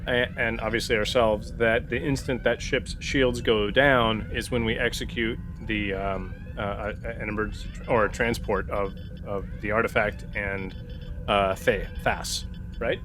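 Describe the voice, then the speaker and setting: A man's voice: on the low side, steady tone, a little slow, noisy background. A man in casual game-table discussion, talking as a player and tentatively thinking aloud.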